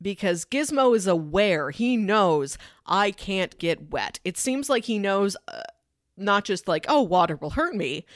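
Woman on a movie podcast, chatty n analytical, normal pitch, really dry close recording. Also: lively intonation, a little slow, no echo. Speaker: film podcast co-host. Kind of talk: casual movie discussion.

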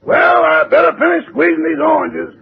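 A man playing a cheerful, wry household servant with a raspy voice in a 1950s radio comedy. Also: extremely high pitch, level tone, normal speed, full character voice. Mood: musing. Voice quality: very raspy, gravelly. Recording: dull, lightly noisy old radio recording.

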